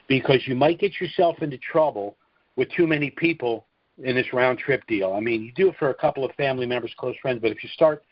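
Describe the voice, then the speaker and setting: A man's voice: mid-range voice, no echo. Man advising a caller over dull phone audio. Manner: repeats phrases for emphasis. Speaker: call host and trust seller advising callers. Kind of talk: informal advice on a call-in conference call. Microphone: telephone conference line. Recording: dull lo-fi conference phone line.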